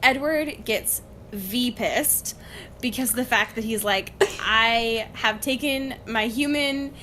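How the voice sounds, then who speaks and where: A woman's voice: a little high, slow pace, bright, forceful tone, low-quality recording. A young woman co-hosting a casual podcast book chat, wry and giggly, with informal slangy phrasing. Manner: giggly, laughs often while talking. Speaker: podcast co-host. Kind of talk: casual chatty book discussion. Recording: faint background noise.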